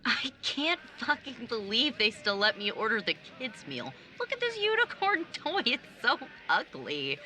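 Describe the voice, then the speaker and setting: A woman's voice: fairly high pitch, big pitch swings, unhurried, hardly any pauses, pushed and bright, a little hiss or hum, exaggerated voice. A young woman playing a sarcastic, blunt nineteen-year-old younger sibling in a naturalistic audio drama. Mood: playful, snarky. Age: young adult. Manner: sardonic, blunt, casual.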